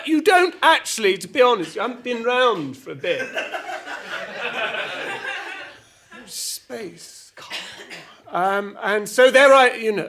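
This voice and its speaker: A man playing a flustered, exasperated storyteller, halting and repeating himself through a comic monologue full of petty grievances. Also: very high voice, creaky, a bit bright, full character voice. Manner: halting, repetitive, stumbling over words. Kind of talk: rambling semi-improvised comic monologue with acted argument quotes.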